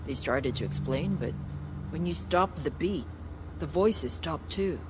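A woman's voice: low voice, lively intonation, unhurried, background noise. An actress playing a blunt, spiritual, resolute woman survivor in a video game cutscene, with a matter-of-fact delivery. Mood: solemn, resolute.

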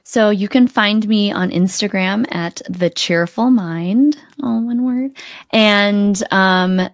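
A woman's voice: medium pitch, slow pace, no echo. A woman speaking as a podcast guest and coach, earnest and reflective in a casual conversation, close to a desktop mic. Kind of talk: casual interview chat. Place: indoor home room on a video call.

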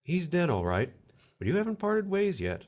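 A man's voice: high voice, wildly swooping pitch, moderate pace, a little mellow, dull audio. An actor playing an officious otherworldly male collector of souls in an audio horror drama, wry and formal as he explains procedure. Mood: formal, theatrical.

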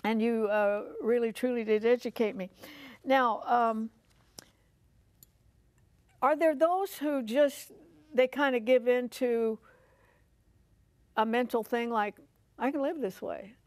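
Older female talk show host, American, higher-pitched, dry close studio sound. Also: takes it slowly, pauses often, darkish tone, no echo. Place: furnished living-room style TV studio set.

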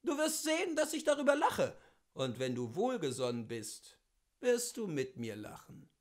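A man giving an expressive, theatrical reading of a literary memoir, with deliberate phrasing and emphatic pauses. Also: high-pitched. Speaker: reader of literature.

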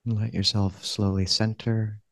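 A man with a fairly low voice guides a meditation in a gentle, unhurried way. His pitch stays very even, with long pauses between phrases. The sound is close and dry. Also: creaky, dry recording. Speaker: monastic meditation teacher.

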